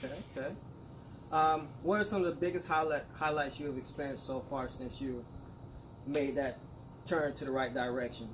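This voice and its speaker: A man hosts the interview, speaking at a middle pitch and keeping things casual. He nods along with short affirmations and works his way into questions with restarts. Noise runs under the recording throughout. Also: steady tone, moderate pace. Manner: affirming, rambling question phrasing. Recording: noisy background.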